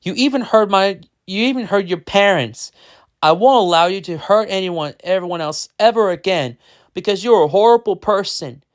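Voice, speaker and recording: A storyteller voicing a heroic, emotional father with loud, dramatic declarations. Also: medium pitch, expressive, slow, clear, resonant tone, no room sound, studio quality. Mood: defiant anger.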